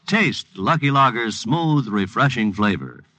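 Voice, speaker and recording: Bright, animated vintage radio ad announcer. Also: male voice, medium pitch, measured pace, no room sound. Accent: American. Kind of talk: 1950s radio station ID and advertising.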